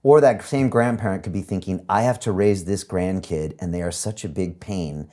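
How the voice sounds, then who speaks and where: A man's voice: sits low, normal speed, dark tone. A man interviewing someone, talking casually and telling stories to illustrate his points. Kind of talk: casual interview conversation, storytelling.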